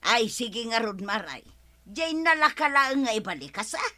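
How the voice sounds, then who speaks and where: A woman playing a quarrelsome, hot-tempered village woman with an Ilocano accent in a broadcast comedy drama, given to scolding and drawn-out exclamations. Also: a little high, big pitch swings, sharp tone. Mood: sulky, subdued.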